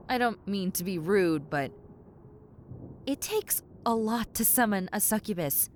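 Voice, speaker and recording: A woman voicing a brash tomboy succubus in a roleplay audio, sarcastic, incredulous and casually blunt. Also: fairly high pitch. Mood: dry, incredulous. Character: brash tomboy succubus demon, blunt, sardonic, reluctantly helpful. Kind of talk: roleplay audio acting, conversational.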